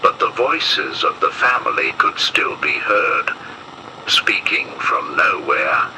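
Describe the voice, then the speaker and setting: Man as deep, slow, ominous emergency announcer. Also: background noise. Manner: solemn, ominous, deliberate. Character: grave male emergency broadcast announcer warning of anomaly. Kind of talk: analog horror emergency broadcast narration.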